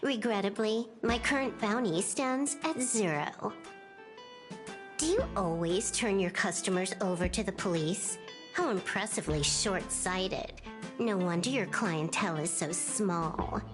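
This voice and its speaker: A woman's voice: mid-range voice, lively intonation, measured pace, music in the background, lightly acted. An actress playing a cool, composed, teasing female outlaw in a video game. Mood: cool, sardonic.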